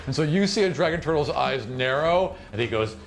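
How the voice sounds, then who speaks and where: A man's voice: a little high, animated, fairly quick, faint music behind. A man from the United States acting as game master, improvising vivid, dramatic narration for a tabletop fantasy game in colloquial language.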